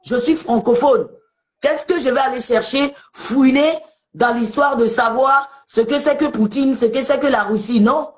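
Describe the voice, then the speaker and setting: A woman's voice: high voice, moderate pace. A woman from Togo hosting a casual live debate, chatty and emphatic, often repeating herself. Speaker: live show host.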